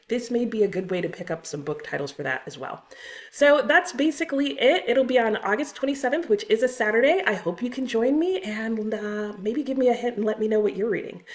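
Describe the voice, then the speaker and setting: A woman's voice: a little high, a little mellow. A woman talking casually and conversationally to her viewers as the host of a book channel. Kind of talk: casual scripted-feeling vlog talk to viewers.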